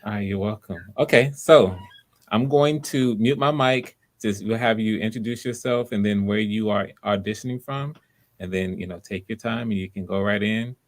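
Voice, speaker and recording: Male host and coach, fairly deep voice, easygoing talk, supportive and reassuring to performers. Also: normal speed. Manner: encouraging, reassuring. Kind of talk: casual spoken coaching. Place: live online video call.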